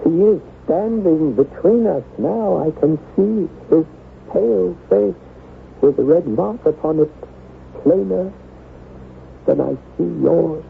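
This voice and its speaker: An anguished husband, tinny old radio sound. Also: male voice, on the high side, gravelly, exaggerated voice. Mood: horrified. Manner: melodramatic, halting, repeats words for emphasis. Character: anguished, jealous husband of a French chateau. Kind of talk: old-time radio drama acting. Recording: tinny, noisy vintage radio recording.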